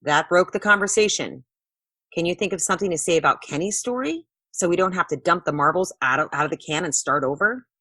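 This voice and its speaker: A woman's voice: on the low side, lively intonation, fairly quick, pushed and bright, dry recording. A woman voicing a young girl who organises games and explains things expressively in a children's storybook. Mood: curious, correcting.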